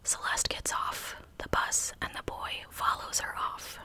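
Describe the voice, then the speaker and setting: Lady doing asmr true crime, all whispered, crisp and bright, chatty storytelling. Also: a little slow. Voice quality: breathy unvoiced whisper. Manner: conversational narration.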